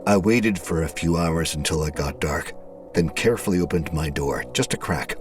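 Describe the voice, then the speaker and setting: A man delivering scripted first-person horror narration in a dramatic, suspenseful way, with deliberate phrasing. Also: low voice. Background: music.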